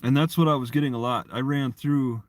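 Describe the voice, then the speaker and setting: Halting man rambling on a livestream. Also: mid-range voice, steady tone, no room sound. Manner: halting, repeats and restarts phrases. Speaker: live streamer. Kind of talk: casual rambling livestream chat.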